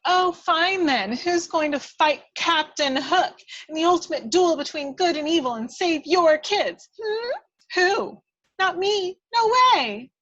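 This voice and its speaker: A woman's voice: extremely high pitch, lively intonation, slow pace, fairly bright tone, dull audio, voice pushed up, full character voice. A woman playing a tiny, feisty, bossy fairy with a scornful, theatrical delivery in a script read-through. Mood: taunting. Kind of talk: hobby table read acting.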